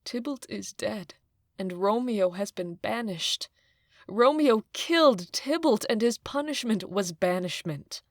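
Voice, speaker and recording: A woman's voice: medium pitch, expressive, measured pace, no echo, studio quality. A woman playing an overwrought older nurse in an audio drama, lamenting breathily and repeating herself. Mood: grim. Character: wailing, overwrought older female nurse, bitter servant. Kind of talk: audio drama acting of modernized Shakespeare.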